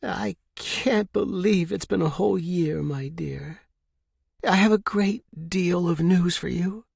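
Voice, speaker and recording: Genteel elderly matriarch, played by a male narrator: raised pitch, gracious, fond, formal storybook delivery. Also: slow pace, creaky, a little mellow, breathy edge, no echo, clean studio sound, full character voice. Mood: tender, fond. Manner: formal, affectionate.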